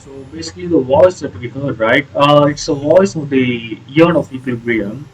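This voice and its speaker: A man giving casual, explanatory commentary on a video game playthrough in a hesitant, halting way. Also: medium pitch, husky, faint music behind, low-quality recording. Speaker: gaming video creator.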